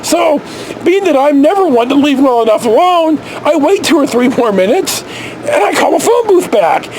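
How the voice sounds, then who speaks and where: Middle aged american guy, kinda high voice, rambling sweary storytime into his camera, noisy background. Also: normal speed. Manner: salty, sweary, animated reminiscing. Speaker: storyteller, vlog host. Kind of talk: casual rambling personal storytelling. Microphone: camera held at arm's length.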